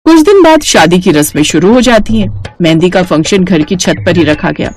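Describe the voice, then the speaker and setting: A woman's voice: lowish voice, lively intonation, brisk, a little mellow, soft background music. A woman narrating a scripted family story.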